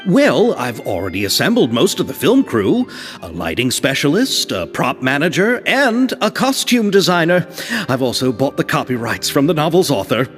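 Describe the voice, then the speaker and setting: A man's voice: on the high side, wildly swooping pitch, normal speed, soft background music. A man voicing an eager, apologetic film director in a video game, with a polite, effusive and hesitant manner. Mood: earnest.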